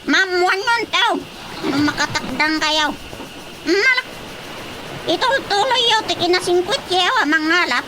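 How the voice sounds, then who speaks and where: A woman's voice: extremely high pitch, animated, unhurried, long pauses, very noisy. A woman playing a stern talking sea creature that gives solemn warnings in a radio drama.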